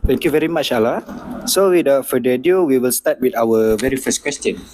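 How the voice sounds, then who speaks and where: A man's voice: medium pitch, brisk, a little mellow, a little hiss or hum. A young man from Malaysia, a student, asking formal interview questions in a polite, scripted way.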